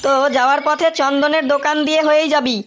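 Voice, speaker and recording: A man voicing a teasing, suspicious young man in a comic cartoon, with a bossy, conspiratorial manner and a pitched-up voice. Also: level tone, fast, a bit bright, a little hiss or hum. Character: teasing, scheming, suspicious young man leading his friends.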